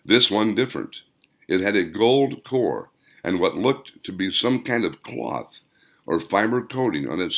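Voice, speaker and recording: A man reading a scripted story aloud as an audiobook narrator, with deliberate, dramatic emphasis. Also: deep voice, creaky, a bit bright. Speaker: author narrating own audiobook.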